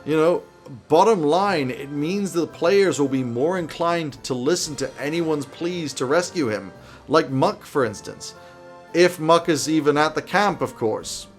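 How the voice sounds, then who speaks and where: A man in his thirties giving a conversational explainer to camera as a host, with an animated, casual, dryly humorous manner. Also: fairly high pitch, a little slow, soft background music. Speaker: tabletop roleplaying game advice host. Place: small home office, indoors.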